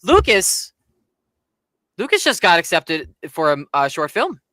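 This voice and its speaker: A man with a fairly high, bright, forward voice co-hosts a casual film podcast. He speaks informally and circles back over his own phrases. The sound is dry and close. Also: huge pitch swings, measured pace, no echo. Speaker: podcast co-host. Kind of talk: casual chat about films.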